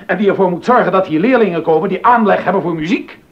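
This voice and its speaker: An actor playing a stubborn, indignant composer and choir director in a docudrama, with an emphatic, commanding, formal delivery. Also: male voice, high voice, fast, lightly acted. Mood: indignant, defiant. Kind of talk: Dutch docudrama acting. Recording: dull, lo-fi sound.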